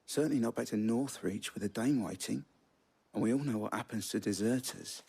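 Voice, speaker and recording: An actor playing a weary, pragmatic soldier in a game cutscene, rough-voiced, plain-spoken and resigned. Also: male voice, mid-range voice, a little fast, mellow. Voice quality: gravelly, rough. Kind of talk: dramatic video game cutscene acting.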